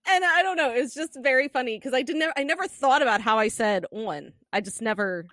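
Lively, laughing East Coast American woman. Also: sits high, a little fast, no echo, clean studio sound. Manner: laughs often, animated storytelling. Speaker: podcast co-host. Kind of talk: casual chat.

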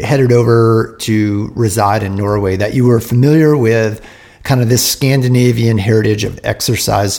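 A man hosting a sports podcast, reading a steady scripted intro and asking interview questions. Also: lowish voice, gravelly.

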